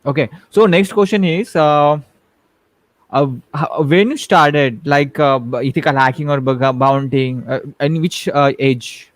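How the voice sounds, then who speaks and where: A man's voice: on the high side, measured pace, no room sound. A man hosting a live-stream interview, reading out questions hesitantly with many fillers. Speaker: host.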